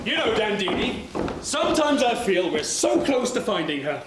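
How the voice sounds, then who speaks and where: A man's voice: high voice, sing-song, normal speed, over a noisy crowd. A man playing a romantic, earnest young prince in an amateur stage pantomime, with declamatory delivery. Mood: hopeful. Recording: echoey hall, faint noise.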